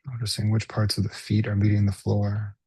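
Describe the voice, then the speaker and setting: A man with a soft, breathy, husky voice leads a guided body scan meditation as a teacher, gently and unhurriedly, pausing between short phrases. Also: fairly low pitch, monotone, no room sound.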